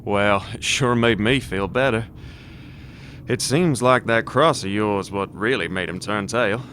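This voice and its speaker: An actor playing a brash, bold, plain-spoken gun-toting adventurer with folksy manners in an audio drama. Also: male voice, fairly low pitch, a little slow, a bit bright, a little hiss or hum.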